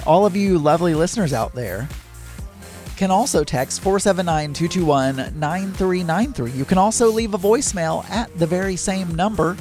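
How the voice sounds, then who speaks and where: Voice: mid-range voice, darkish tone. A podcast host with a Southern US accent, chatting casually and candidly on their own, often breaking off mid-sentence and starting again. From American South. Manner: rambling, self-interrupting, chatty and candid. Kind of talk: casual solo podcast chat.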